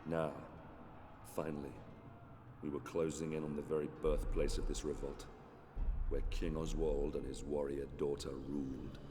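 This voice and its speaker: A grim, very deep Roman centurion. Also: male voice, level tone, normal speed. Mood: narrating. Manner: grim, clipped, dutiful. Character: grim, loyal male Roman centurion, hard-edged. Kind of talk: video game narration and dialogue. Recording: reverberant and noisy.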